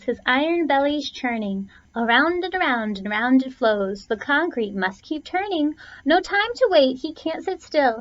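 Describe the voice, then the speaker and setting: Female storybook reader, high voice with very lively swings, expressive rhyming read-aloud, slightly muffled lo-fi recording. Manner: theatrical phrasing, stretches and punches key words. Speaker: teacher reading a picture book aloud. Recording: dull, lo-fi sound.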